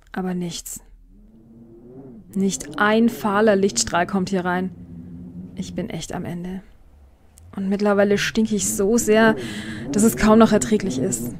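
A woman's voice: mid-range voice, darkish tone, a little hiss or hum, close to an everyday voice. A woman playing a desperate, exhausted young kidnapped heiress in an audio drama. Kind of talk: audio drama monologue and acting.

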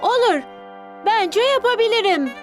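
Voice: extremely high pitch, lively intonation, measured pace, fairly bright tone, soft background music, exaggerated voice. A performer in a children's cartoon dub voicing a cheerful, curious little boy with simple, eager phrasing. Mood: mischievous.

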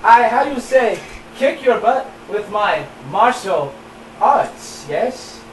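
Teen guy doing a slow halting broken-english kung fu showoff, echoey bedroom cam audio. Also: high-pitched, expressive, a little hiss or hum. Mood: mocking. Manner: halting, mock-foreign, keeps asking "yes?". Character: boastful young man in broken English, martial-arts fan. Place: small cluttered bedroom. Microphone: camera across the room. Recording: roomy, distant home-camera audio.

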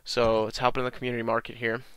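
A young man with a slight cold-raspy voice, casually walking through a video game tutorial with lots of ums. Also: mid-range voice, racing. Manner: relaxed, many ums and uhs, talks through menus. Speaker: gaming channel host.

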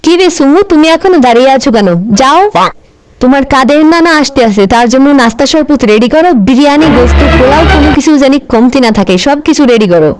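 A woman's voice: high-pitched, animated, quick pace, noisy background. A woman voicing a bossy, vindictive grandmother politician in a comic cartoon, nagging and sulking as she makes demands. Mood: bossy, offended.

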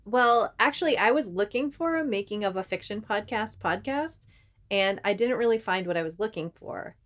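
A woman's voice: medium pitch, expressive, normal speed. Woman co-hosting a conversational podcast. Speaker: podcast co-host and writer.

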